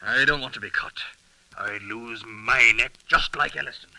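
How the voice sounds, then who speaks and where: An actor playing an excitable, frightened thief, melodramatic and agitated, in a 1930s radio drama. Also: male voice, mid-range voice, moderate pace, close to an everyday voice. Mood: alarmed. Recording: noisy, crackly 1930s radio recording.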